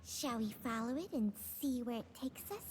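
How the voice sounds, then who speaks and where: A woman voicing a small, chirpy, inquisitive fairy companion in an anime-style video game, squeaky and childlike, often exclaiming. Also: expressive, normal speed, natural voice. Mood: curious. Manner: exclamatory, refers to self by name. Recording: game music behind.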